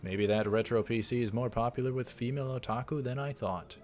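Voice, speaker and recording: A man's voice: medium pitch, a little fast, darkish tone, soft background music, close to an everyday voice. A man playing a wary, exasperated young man in a visual novel, musing in a guarded way.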